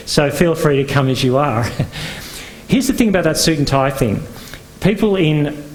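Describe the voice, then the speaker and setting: A man's voice: medium pitch, rough recording. A man from Northern Ireland giving a conference talk as a pastor, speaking conversationally with frequent fillers and restarts. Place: conference venue.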